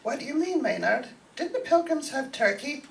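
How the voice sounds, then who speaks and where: Woman acting a high, creaky, fluttery aunt. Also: big pitch swings, normal speed, distant mic, slight background noise, full character voice. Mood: flustered, sweet. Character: fussy, flustered, sweet aunt with a crush. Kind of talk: old-time radio sitcom acting.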